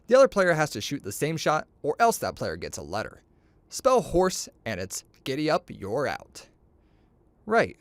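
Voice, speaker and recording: Guy reading a bedtime story, really animated and playful, acts out the cocky lines, dry sound. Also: on the high side, normal speed, no echo. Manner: expressive, playful, boastful delivery of dialogue.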